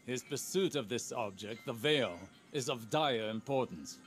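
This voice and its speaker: Slow, grave scholarly mentor voiced by a man. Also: mid-range voice, animated, soft background music, natural voice. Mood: grave, intent. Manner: deliberate, scholarly, serious. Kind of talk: video game dialogue acting.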